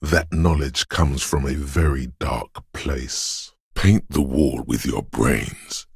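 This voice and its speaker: Game role: ominous dreamlike inner voice, very raspy, unhurried, close and dry, cryptic and hushed in its intensity. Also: male voice, fairly even intonation, no room sound, heavily acted. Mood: somber. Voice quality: very deep, gravelly, husky. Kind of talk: dramatic game narration.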